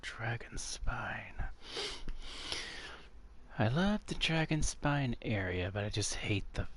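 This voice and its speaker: A man's voice: medium pitch, lively intonation, takes it slowly, a little hiss or hum. A man giving casual commentary while playing through a video game. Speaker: gamer playing through a story quest. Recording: loud game music over the voice.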